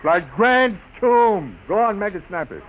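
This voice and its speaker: An actor playing a gruff, middle-aged American city editor who barks brusque orders in an old radio drama. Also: male voice, high-pitched, very animated, measured pace, narrow, tinny audio. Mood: urgent, commanding. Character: gruff, harried veteran male newspaper city editor. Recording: noisy vintage radio recording.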